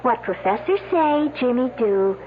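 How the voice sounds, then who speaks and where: A woman's voice: a little high, lively intonation, measured pace. An actress playing a pointed, sarcastic young woman student in a 1970s radio drama. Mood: bitter, accusing. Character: sharp-tongued young woman student, bitter and mocking. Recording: tinny old radio recording, faint hiss.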